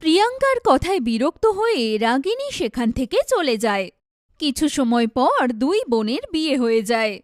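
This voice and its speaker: A woman's voice: high voice, big pitch swings, normal speed, no echo. A woman narrates a scripted cartoon tale in storybook style. The recording is dry and close, with no room around the voice.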